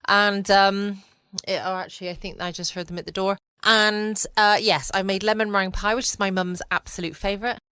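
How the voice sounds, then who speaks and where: A woman's voice: on the low side, pushed and bright, no room sound, clean studio sound. A woman hosting a casual bedtime podcast from home, chatty and rambling. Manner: chatty, rambling, light laughs. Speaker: podcast host.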